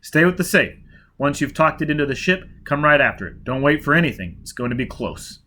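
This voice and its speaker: Brief: man as a rough, driven space pilot, blunt and forceful. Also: on the high side, lively intonation, brisk. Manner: blunt, shouting orders.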